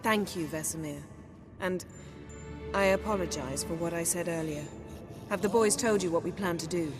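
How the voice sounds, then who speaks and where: An actress playing a brisk, imperious sorceress in a video game, curt and authoritative. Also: female voice, fairly low pitch, music in the background, close to an everyday voice. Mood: commanding.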